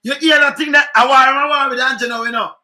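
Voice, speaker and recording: A middle-aged man with a Jamaican accent giving casual, animated political commentary to his webcam from home, repeating his points. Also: very high voice, fast. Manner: animated, chuckling, repeats phrases, gestures a lot. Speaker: online commentary host. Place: home room, indoors. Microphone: webcam at desk.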